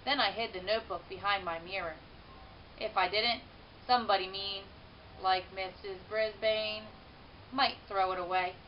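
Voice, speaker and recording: A woman narrates a children's book with animated expression. She leans on key words and draws them out. The recording is dull, with a faint hiss behind her. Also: medium pitch, moderate pace. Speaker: teacher reading a children's book aloud. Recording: muffled, hissy home-style recording.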